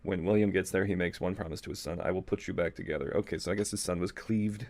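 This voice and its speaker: A man's voice: low voice, steady tone, fast talker, a little mellow, slight background noise. A man reading a story aloud in a casual, comedic way, with mocking asides and self-corrections. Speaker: podcast host reading fan fiction aloud.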